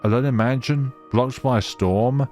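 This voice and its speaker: A man's voice: mid-range voice, moderate pace, darkish tone, faint music behind. A man narrating a visual novel as a let's player, reading expressively and slipping into casual commentary.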